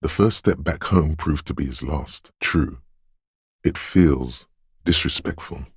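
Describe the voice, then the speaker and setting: Game role: ominous dreamlike inner voice, very raspy, unhurried, close and dry, cryptic and hushed in its intensity. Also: male voice, dry recording, heavily acted. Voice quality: very deep, gravelly, husky. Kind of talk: dramatic game narration.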